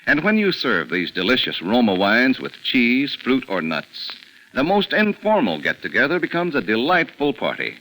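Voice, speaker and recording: A man's voice: medium pitch, sing-song, normal speed, bright, forceful tone, low-quality recording. A man reading a scripted sponsor's advertisement as a 1940s radio announcer. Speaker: commercial announcer.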